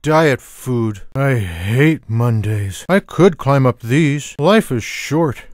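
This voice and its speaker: A lazy, sarcastic cartoon tomcat drawls out food-obsessed wisecracks for a video game. The voice is mid-pitched and deadpan, recorded very dry and close, with no room sound. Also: male voice, slow, dry recording. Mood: lazy, wry. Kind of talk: scripted video game character one-liners. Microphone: close studio microphone, dry sound.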